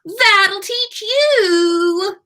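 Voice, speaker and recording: A woman's voice: very high voice, drawn-out words, sharp tone, voice pushed up, heavily acted. A woman voicing a mischievous, nasty male poltergeist with a gleeful, taunting sing-song manner in a storybook reading.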